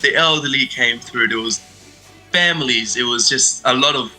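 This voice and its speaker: A man's voice: medium pitch, moderate pace, pushed and bright, soft background music, rough recording. A young Australian man in his twenties, a stage performer, answering interview questions over a video call in a friendly, loose way with many fillers. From Sydney, Australia. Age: 20s. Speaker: stage actor and dancer, guest.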